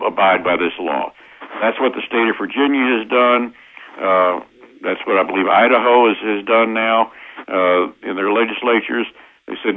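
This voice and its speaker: American man on a tinny phone line, low-pitched. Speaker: interview guest, constitutional lawyer. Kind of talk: interview answers, explanatory political talk. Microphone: telephone.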